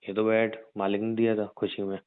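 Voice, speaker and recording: A man playing a rough young gangster husband in an audio drama, crude and teasing yet protective, talking in slang. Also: on the low side, level tone, brisk, no room sound, natural voice. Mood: reassuring. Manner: slangy, crude, mild swearing. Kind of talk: audio roleplay drama, conversational. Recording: narrow phone-like dry sound.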